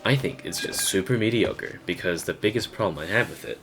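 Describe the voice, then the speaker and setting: Young or canadian guy, low voice, rambly blunt gaming rant, swears, bit of noise behind. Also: expressive, fairly quick, fairly bright tone. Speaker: gaming video creator. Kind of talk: casual opinionated rant. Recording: faint background noise.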